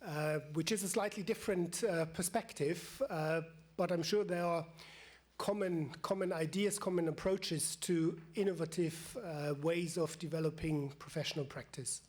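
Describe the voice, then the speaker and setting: A man asks a formal question from the audience. His voice is high for a man, and he phrases things carefully and in a measured way without a script. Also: fairly even intonation, darkish tone. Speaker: audience member from a vocational training institute. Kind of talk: unscripted formal question to a panel.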